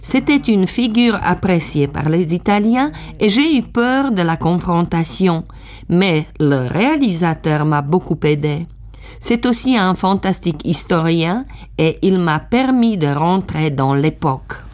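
A woman reading a calm first-person voice-over translation of an interview. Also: fairly low pitch, a little slow.

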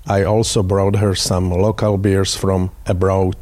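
Man, Slovak accent, native Slovak speaker, lowish voice, dry close sound, measured short sentences. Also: level tone, a little mellow, dry recording. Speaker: radio journalist. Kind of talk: prepared personal tribute.

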